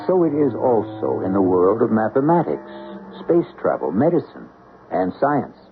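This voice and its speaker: A man with a lowish voice introduces a radio mystery, and his pitch rises and falls dramatically. He narrates in a measured, theatrical manner. Music plays under him through a tinny, narrow old broadcast sound. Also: big pitch swings. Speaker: host and narrator.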